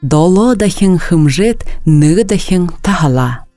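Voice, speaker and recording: A woman's voice: lowish voice, animated, measured pace, mellow, dry recording, soft background music. A woman reading words and phrases for a language lesson, carefully enunciated for learners to repeat, on a close studio mic. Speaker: native-language speaker in a lesson.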